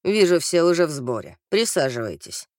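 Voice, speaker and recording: A woman voicing a stern, bearded male police captain from an audiobook, formal and commanding with crisp diction. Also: deep voice, sing-song, normal speed, a little mellow, no room sound, studio quality, pitched below the performer's own voice. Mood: formal, authoritative.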